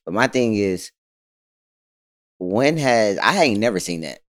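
A man's voice: fairly low pitch, lively intonation, measured pace, no room sound, clean studio sound. A man hosting a casual podcast chat, speaking in slangy conversational phrases and often checking that he is understood. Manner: slangy, conversational, seeks agreement. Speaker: podcast host.

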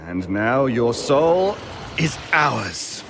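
A man playing a sinister, bossy hypnotist who leads minions, speaking deliberately in an audio horror-comedy skit. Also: medium pitch, very animated, creaky, background noise, exaggerated voice. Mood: smug menace. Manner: deliberate, commanding.

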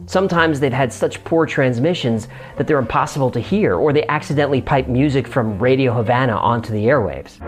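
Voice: mid-range voice, a little fast, few pauses. Casual explainer host over background music. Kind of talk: scripted narration with casual asides.

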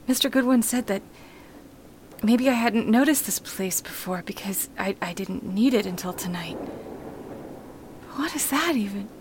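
An actress playing a tender, wonderstruck woman in a radio play, halting and emotional, slipping into whispers. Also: mid-range voice, a little hiss or hum, natural voice. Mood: quiet realization, grateful. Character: tender, wonderstruck woman alone with her dog on Christmas Eve.

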